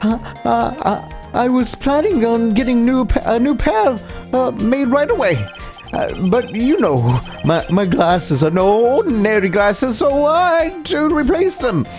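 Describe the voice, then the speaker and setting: A woman playing a flustered male defense attorney in a game, halting and repeating words as the character questions a witness. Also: on the high side, big pitch swings, a little mellow, faint music behind. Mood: pressing. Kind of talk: amateur voiced playthrough of courtroom game script.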